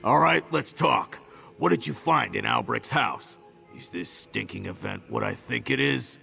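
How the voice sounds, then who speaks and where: An actor playing a gruff, boastful orc companion who teases and swears, with a gravelly voice. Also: male voice, medium pitch, measured pace, sharp tone, faint music behind, heavily acted. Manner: boisterous, teasing, coarse. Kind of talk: video game voice acting.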